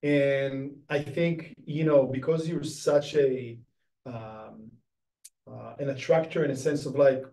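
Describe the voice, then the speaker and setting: A man's voice: mid-range voice, fairly even intonation, slow, gravelly, dark tone. A man in his thirties or forties hosting a casual interview in an encouraging, reflective way. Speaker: host, interviewer. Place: small indoor studio set, seated. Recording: roomy, distant mic.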